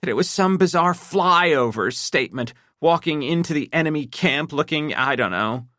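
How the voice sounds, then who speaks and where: Man voicing a high, lively, shaking wife on the edge of hysteria; storybook reading. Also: moderate pace, no echo, clean studio sound. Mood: shaken, accusing. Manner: shaking, accusing, rising to shrieks. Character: distraught betrayed middle-aged wife, shrill.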